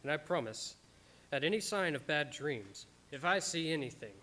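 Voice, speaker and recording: A devoted, anguished doctor of radio drama, played by a man. His voice sits a little high, and he tells his journal dramatically, in an old-time theatrical style. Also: animated, normal speed, pushed and bright, slight background noise. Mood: tender, reassuring. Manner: stammering when distressed, dramatic.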